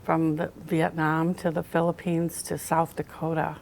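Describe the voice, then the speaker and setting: Woman host, low voice, gravelly edge, encouraging. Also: completely flat delivery, measured pace, slight background noise, low-quality recording. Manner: encouraging, warm affirmations. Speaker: local TV talk show host. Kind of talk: hosting an author interview.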